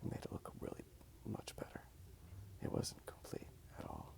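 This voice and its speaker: A man speaking softly in a casual, rambling ASMR style as he draws. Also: moderate pace. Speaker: ASMR video creator. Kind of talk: whispered ASMR rambling while drawing.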